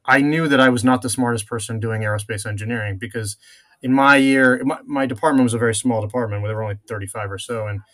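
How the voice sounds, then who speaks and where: A middle-aged man born in France, a venture investor, telling his career story in a measured way with many filler pauses. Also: medium pitch, steady tone, fairly bright tone. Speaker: guest, venture capital investor. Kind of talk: conversational interview answers.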